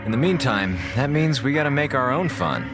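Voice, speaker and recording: An actor playing a wisecracking, sarcastic communications officer on a space station, talking casually in a radio drama. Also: male voice, mid-range voice, very animated, fairly quick, music in the background, natural voice. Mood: wry. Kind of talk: radio drama audio log narration.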